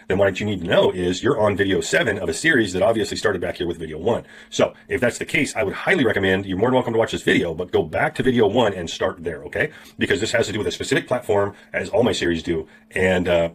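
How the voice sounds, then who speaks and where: Hoarse man with a cold, fast talking. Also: sits low. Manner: rambling, frequent throat clearing and fillers. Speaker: YouTube affiliate marketing teacher. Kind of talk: casual tutorial talk to camera.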